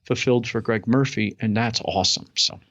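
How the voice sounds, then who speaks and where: A man's voice: on the low side, fairly quick, fairly bright tone, no echo. A man talking as a guest in a casual interview, upbeat, enthusiastic and rambling. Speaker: podcast guest. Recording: dull lo-fi audio.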